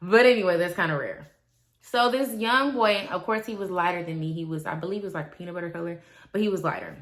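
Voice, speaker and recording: A woman, talking casually and animatedly to her viewers, swinging between loud asides and quiet trailing remarks. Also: lowish voice, fast. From Milwaukee, Wisconsin. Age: 20s. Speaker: vlogger. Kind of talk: casual confessional vlog.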